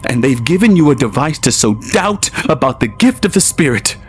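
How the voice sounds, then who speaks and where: A man's voice: mid-range voice, big pitch swings, moderate pace, faint music behind, pitched above the performer's own voice, lightly acted. A man voicing a stern, suspicious woman leader who speaks coldly and accusingly in an audio drama. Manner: cold, accusing.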